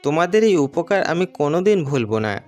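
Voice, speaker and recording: Man voicing a lively, pleading little bird. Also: a little high, normal speed. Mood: earnest, thankful. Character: grateful injured myna bird, gentle and earnest. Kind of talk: cartoon story voice acting. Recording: music behind the voice.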